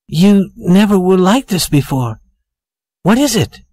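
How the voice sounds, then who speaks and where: A woman's voice: fairly low pitch, expressive, unhurried, dark tone, no echo, studio quality, voice pushed up. A woman voicing an anxious young woman in a storybook, pleading and earnest with her sweetheart. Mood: insistent.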